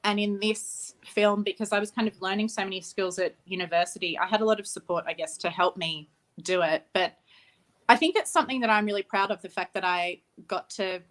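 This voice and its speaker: A woman's voice: mid-range voice, fairly quick. A young woman from Australia, a film director talking about her work as an interview guest, reflective and laughing easily. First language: Ukrainian. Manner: warm, reflective, laughs easily, restarts phrases.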